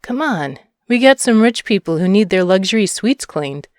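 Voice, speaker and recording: A woman's voice: medium pitch, expressive, normal speed, dry recording, voice pushed up, natural voice. Flippant female space-station housekeeper, acted by a woman reader, careless and cheeky, audiobook style, very dry. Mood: impatient. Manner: flippant, casual.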